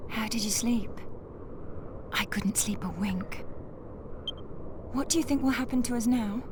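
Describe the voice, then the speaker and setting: Fretful, high-voiced young adventurer. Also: female voice, unhurried, noisy background. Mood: worried. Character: anxious young woman adventurer. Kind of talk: dramatic video game voice acting.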